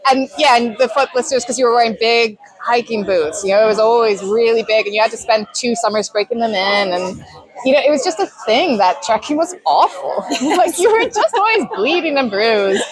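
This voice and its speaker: A woman's voice: fairly high pitch, animated, a little fast, low-quality recording. A woman in her thirties with a Canadian accent, chatting animatedly as an interview guest and laughing often. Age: 30s. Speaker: guest adventure athlete. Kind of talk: casual interview chat. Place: festival marquee.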